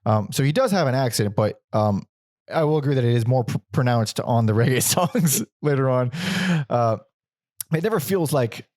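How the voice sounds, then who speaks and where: A man's voice: medium pitch, expressive, moderate pace, a little mellow, no echo. Male podcast host, casual and rambling, curses freely, dry close mic. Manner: rambling, swears casually, laughs often. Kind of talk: casual music discussion.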